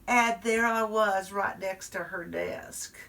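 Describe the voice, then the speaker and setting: A woman's voice: mid-range voice, fairly even intonation, takes it slowly, fairly bright tone, far from the mic. A woman storyteller voicing a chatty little first-grade girl who confides in her listeners. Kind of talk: performed storytelling.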